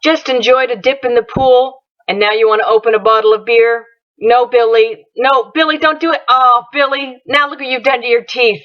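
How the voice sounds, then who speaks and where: A woman's voice: on the high side, steady tone, moderate pace, dry recording, lo-fi sound. A woman narrating a scripted parody ad in a mock-cheerful infomercial style.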